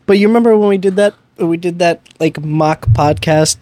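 A man co-hosting a podcast, teasing his friend with deadpan jabs. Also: high-pitched, normal speed, dry recording. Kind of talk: casual podcast banter.

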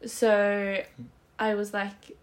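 A woman's voice: medium pitch, monotone, very slow. A woman of twenty having a casual, giggly chat with a friend as a podcast co-host.

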